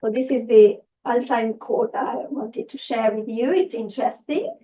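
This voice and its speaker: A woman's voice: fairly high pitch, animated, a little slow, dark tone, slight background noise. Hungarian-accented woman giving a hesitant talk. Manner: hesitant, many fillers and restarts. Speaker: presenter. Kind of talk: public talk to a live audience. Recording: distant, dull lo-fi room recording.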